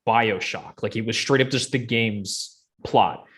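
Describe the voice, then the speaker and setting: A man's voice: lowish voice, a little slow, fairly bright tone. A man in casual chat as a podcast co-host, talking like an enthusiastic fan with plenty of slang. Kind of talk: casual chat about video game movies.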